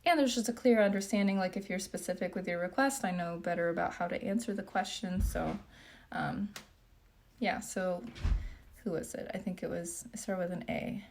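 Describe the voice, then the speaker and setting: A woman's voice: lowish voice, normal speed, a little hiss or hum. Chatty young woman answering live comments. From Japan. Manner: chatty, relaxed, reading comments aloud with frequent fillers. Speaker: beauty vlogger. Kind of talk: casual livestream Q&A while doing makeup.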